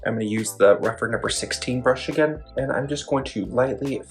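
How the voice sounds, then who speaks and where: A man narrating a makeup tutorial, gently explaining each step in detail. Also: brisk, faint music behind. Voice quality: describes own voice as deep.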